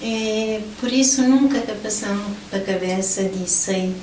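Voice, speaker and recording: A woman's voice: mid-range voice, unhurried, a little mellow. A middle-aged woman from Portugal telling her life story as an interview guest. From Portugal (Lisbon). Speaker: interview guest, motivational event organizer. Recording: roomy, distant mic, faint background noise.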